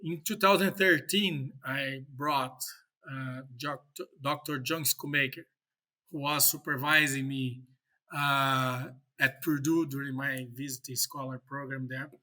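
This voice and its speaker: Brazilian-accented man, very bright voice. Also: mid-range voice, steady tone. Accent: Brazilian Portuguese. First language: Portuguese. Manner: halting, many uh fillers and restarts. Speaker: professor and guest. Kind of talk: podcast interview.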